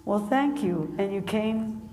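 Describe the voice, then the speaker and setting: A woman author talking with an audience at a book event. She laughs often and speaks in halting restarts. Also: medium pitch, expressive, warm tone. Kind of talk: conversation at a public book event. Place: large hall with an audience. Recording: echoey hall with faint noise.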